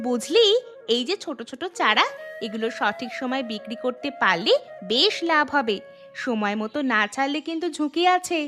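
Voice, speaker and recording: A woman's voice: high-pitched, animated, moderate pace, music in the background. A woman voicing an encouraging cartoon village woman, persuasive and reassuring in her dialogue. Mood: confident, friendly. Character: encouraging village woman planning a fish-fry business.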